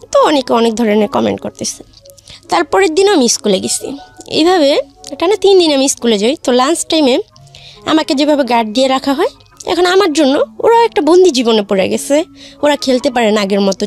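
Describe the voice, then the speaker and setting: High-pitched, creaky Bangladeshi woman. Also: a little mellow. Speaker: guest telling her life story. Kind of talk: personal life-story narration in a radio interview.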